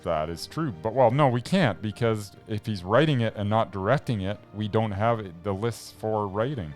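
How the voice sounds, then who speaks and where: Low-voiced guy chatting movies on a podcast, relaxed and plain. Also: normal speed, soft background music. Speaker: podcast co-host. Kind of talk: casual movie podcast chat.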